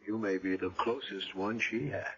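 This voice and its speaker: An actor playing a solemn, kindly chaplain in a radio drama, speaking in a gentle, measured way. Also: male voice, lowish voice, steady tone, crowd noise, lightly acted. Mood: hushed, grave. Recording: dull old radio broadcast with music.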